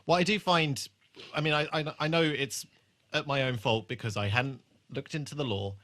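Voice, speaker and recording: A male podcast host with a bright, forward voice and a middling pitch. He chats casually with his co-hosts, swears freely and leans into hyperbole. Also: steady tone, normal speed.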